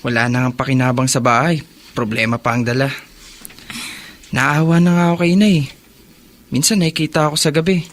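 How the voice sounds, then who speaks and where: A man's voice: mid-range voice, fairly even intonation, a little fast, pauses often, fairly bright tone, lightly acted. An actor playing a stern, worried young man, the responsible older brother, in earnest radio drama style. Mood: troubled, earnest. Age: young adult. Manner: scolding and earnest. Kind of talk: Filipino radio drama acting.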